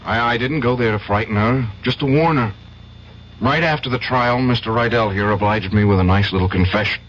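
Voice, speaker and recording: An actor playing an earnest, principled young male lawyer in a radio drama, speaking in a measured way. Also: on the low side, a bit bright, close to an everyday voice. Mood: firm, earnest. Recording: dull old radio broadcast, faint noise.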